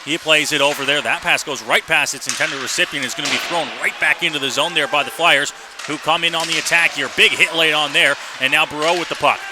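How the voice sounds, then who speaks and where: Guy doing hockey play-by-play on radio, kinda high voice, lots of rink noise behind him. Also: fairly even intonation, a little fast. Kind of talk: live radio sports commentary. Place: indoor hockey arena. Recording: noisy live arena radio broadcast.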